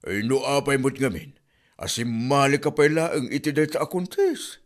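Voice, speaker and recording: A man's voice: mid-range voice, animated, moderate pace, natural voice. A man acting an easygoing, sleepy father in a comedy drama, rambling and fatherly. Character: drowsy, easygoing old-fashioned father.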